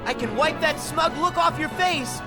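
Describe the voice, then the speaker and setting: A man's voice: very high voice, normal speed, over loud music. A man voicing an earnest, determined and loyal teenage boy hero in a video game. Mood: defiant, alarmed. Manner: earnest, emphatic. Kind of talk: animated video game voice acting.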